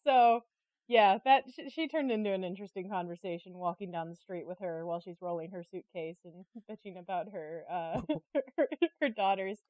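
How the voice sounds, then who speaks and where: A woman's voice: mid-range voice, moderate pace, no echo, dull audio. A woman being interviewed, telling stories in a casual, chatty and giggly conversational way. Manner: giggly, chatty, animated storyteller. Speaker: interview guest, creative director and artist.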